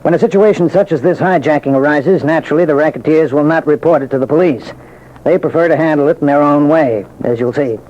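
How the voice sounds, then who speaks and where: A man delivering formal, measured scripted narration as a police commissioner on an old-time radio show. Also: fairly high pitch, a little mellow. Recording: dull, hissy vintage radio recording.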